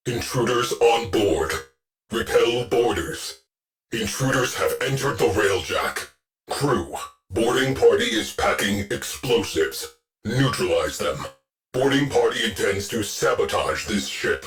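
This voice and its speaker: A gruff male artificial intelligence commanding a warship crew in a video game. The voice sits very low and moves slowly, with clipped, deadpan military phrasing. It stays sardonic and coolly authoritative, over faint music. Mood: stern, contemptuous. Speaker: ship's AI crew officer. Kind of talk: scripted video game voice lines.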